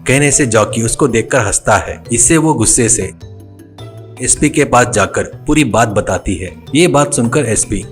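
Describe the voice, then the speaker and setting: A man with a mid-pitched voice narrates a cartoon tale. He reads from a script as a storyteller, with faint music underneath. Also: unhurried.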